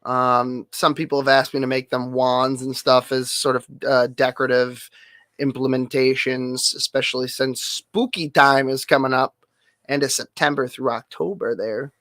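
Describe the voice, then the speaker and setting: A man streamer with a nasal, hoarse voice, rambling through a casual update full of fillers and offhand swearing. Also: mid-range voice, steady tone, moderate pace, a bit bright, no echo. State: hoarse, losing voice.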